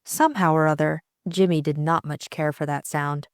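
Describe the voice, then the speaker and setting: A woman's voice: low voice, fairly even intonation, moderate pace, dry recording, studio quality. A woman reading a novel aloud as scripted audiobook narration.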